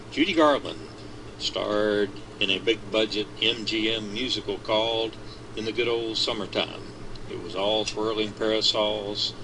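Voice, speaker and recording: Man, low voice, article reading, measured delivery, clear background hum. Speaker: reader of the article.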